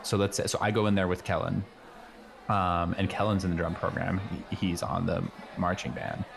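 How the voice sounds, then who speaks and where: A man playing an earnest, know-it-all high school boy in an improvised comedy radio play, rambling casually with lots of slang. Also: flat delivery, moderate pace, vocal fry, music in the background. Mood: earnest, explaining. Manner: rambling, hesitant, peppered with "like" and slang.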